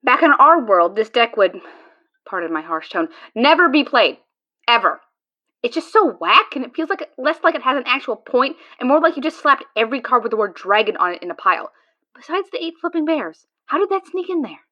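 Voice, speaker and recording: A woman's voice: high-pitched, sing-song, brisk, sharp tone, highs cut off, full character voice. A woman playing a tense, sarcastic card player trying to save her friend in an audio drama, with a biting, dramatic delivery. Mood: scornful.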